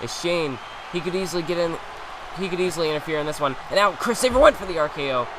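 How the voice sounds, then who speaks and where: Excitable young man calling a game. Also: fairly high pitch, fast talker, a crowd in the background, noisy background. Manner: excitable, rambling play-by-play with frequent restarts. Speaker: let's-play commentator. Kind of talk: play-by-play game commentary.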